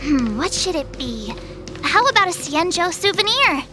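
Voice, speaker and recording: A woman's voice: very high voice, very animated, normal speed, music in the background. A woman voicing a cheerful, curious young traveler in a video game, with a bubbly, chatty, casual manner. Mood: curious, upbeat.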